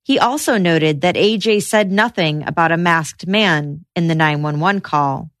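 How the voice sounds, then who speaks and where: A woman podcast host narrating trial news in a measured, reportorial way. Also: fairly low pitch, no echo, studio quality.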